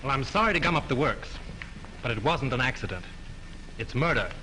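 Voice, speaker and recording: A wry amateur sleuth writer. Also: male voice, medium pitch, lively intonation, fairly quick, bright, forceful tone, a little hiss or hum, low-quality recording. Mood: breezy. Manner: wry, breezy, offhand. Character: wry breezy young male writer sleuth. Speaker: scenario writer. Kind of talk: 1930s film acting.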